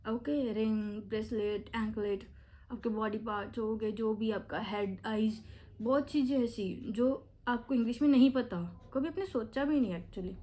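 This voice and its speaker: A woman's voice: on the high side, unhurried, dark tone. A young woman with an Indian accent giving exam speaking tips in a chatty vlog, switching freely between Hindi and English. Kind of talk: casual tutorial talk to camera.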